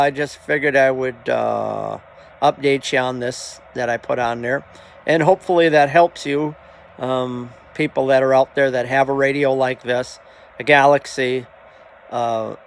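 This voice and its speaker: A man with a gravelly, creaky voice talking casually as a hobby video host, halting and plainspoken, often trailing off. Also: medium pitch, slight background noise. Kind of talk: casual rambling vlog talk.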